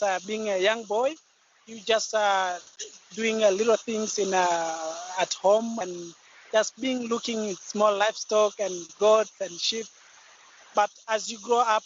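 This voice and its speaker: A high-pitched male voice with a Tanzanian accent explains things informally and haltingly, circling back over phrases. The sound is a dull internet call with steady noise behind it. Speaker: guest conservationist. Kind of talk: informal personal account in an online talk.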